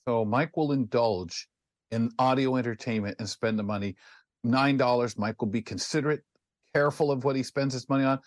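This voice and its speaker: A man's voice: mid-range voice, fairly quick, dry recording, studio quality. A man co-hosting a talk show with casual, teasing banter. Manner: joking, teasing.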